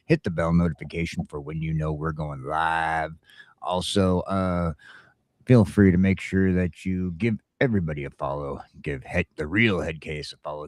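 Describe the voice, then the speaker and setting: A man with a very low voice hosts a laid-back morning show. He talks in a folksy, jokey way with casual radio-style patter. The sound is dry and close, with no room around it. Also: dry recording. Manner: folksy, jokey greetings and wordplay. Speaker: talk show host.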